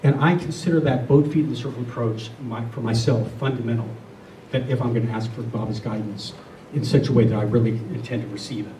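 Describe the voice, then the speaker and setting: A middle-aged or older man giving a reflective spiritual talk to a group, speaking earnestly and deliberately, often repeating phrases. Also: medium pitch, fairly even intonation, dark tone. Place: room with a listening group. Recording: roomy, distant mic, faint noise.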